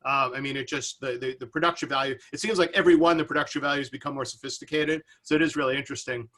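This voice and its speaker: A man's voice: medium pitch, steady tone, very fast, a bit bright. A man on a video call chatting casually as a panelist, thinking aloud and rambling as he works toward his question. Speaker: seminar panelist asking a question.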